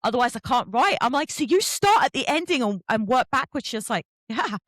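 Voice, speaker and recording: A woman with a highish, very animated voice hosts a casual interview. Her pitch swings widely as she reacts effusively and chats with her guest. The recording is dry, with hardly any room sound. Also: brisk, no room sound. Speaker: host interviewing authors.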